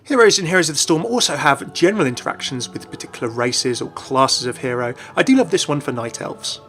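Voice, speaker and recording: Male game channel host, slightly high voice, scripted explainer narration, light music bed. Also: lively intonation, brisk, a bit bright. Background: background music.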